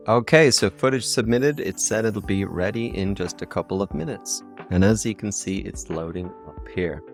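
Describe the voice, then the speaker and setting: An Irish man hosting a casual software tutorial in an enthusiastic way. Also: fairly low pitch, vocal fry. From Ireland. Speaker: tutorial video host.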